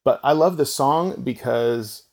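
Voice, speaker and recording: A man talks things through in a reflective, unhurried way, pausing often on small fillers. His mid-range voice has a marked gravelly fry running through it. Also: medium pitch, a little mellow. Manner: thoughtful, reflective, many filler pauses. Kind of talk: casual discussion of worship songs.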